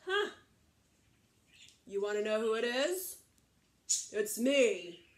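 A woman's voice: on the high side, big pitch swings, slow. A woman voicing a big, strong, cocky male goat in a children's story, blunt and challenging. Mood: defiant. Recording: echoey room.

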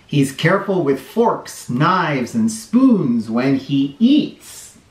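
Children's read-aloud host: male, middle-aged, expressive and slow-paced, drawing out phrases, recorded in a roomy space. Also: fairly high pitch. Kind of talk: animated storybook read-aloud for kids. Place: small bright living room or playroom, indoors. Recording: roomy indoor echo.

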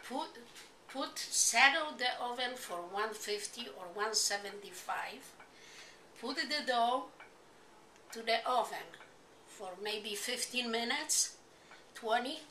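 An older woman with a Polish accent hosts an informal home cooking demonstration, speaking in simple, halting non-native English phrases. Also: fairly high pitch, animated, sharp tone. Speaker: home cooking show host. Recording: noisy amateur home video.

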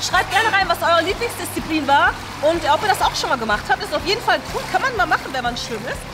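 A young woman hosting a casual vlog from an indoor pool, speaking in an excitable, exclamatory way. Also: extremely high pitch, fast talker, loud music behind the voice. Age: young adult. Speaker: video host. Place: indoor swimming pool, in the water.

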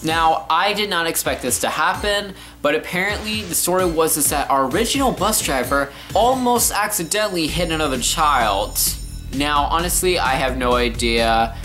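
A man's voice: fairly high pitch, moderate pace, pushed and bright. Young man, casual storytime vlogger, sarcastic, exaggerated delivery, indoor camera recording, music behind. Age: teenager to young adult. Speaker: vlogger telling a personal story. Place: living room, indoors.

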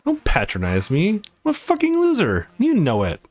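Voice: mid-range voice, huge pitch swings, moderate pace, darkish tone, voice pushed up. A let's player voicing a sulky, self-pitying young athletic woman from a visual novel, blunt and bitter. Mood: dejected.

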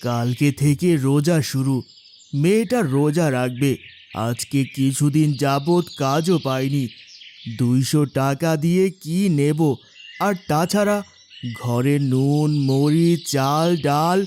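A man's voice: on the high side, takes it slowly, darkish tone, a little hiss or hum. A man playing a poor, honest, devout labourer father in a cartoon moral story. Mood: worried.

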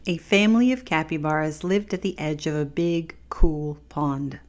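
A woman reading her children's picture book aloud as narrator, gently and expressively. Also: deep voice, normal speed.

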